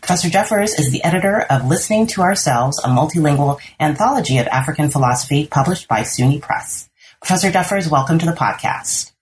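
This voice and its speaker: A woman's voice: deep voice, animated, fairly quick, slight background noise. A woman hosting a podcast interview, asking long, rambling questions full of fillers. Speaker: podcast host.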